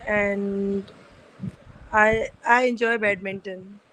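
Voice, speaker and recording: A woman's voice: medium pitch, flat delivery, slow pace, fairly bright tone, rough recording. A woman with a Pakistani accent giving a short self-introduction as a class participant. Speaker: webinar participant, chemistry teacher.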